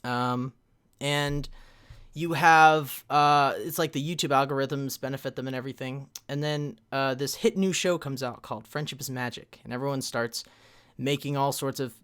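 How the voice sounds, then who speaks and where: A man of ordinary pitch hosts a fan convention panel over a live stream, talking from a home computer mic. He rambles casually, filling gaps with "uh" and "um" and restarting his sentences as he goes. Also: fairly even intonation, normal speed, fairly bright tone, smooth. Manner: loose and rambling, many fillers and restarts, checks the chat.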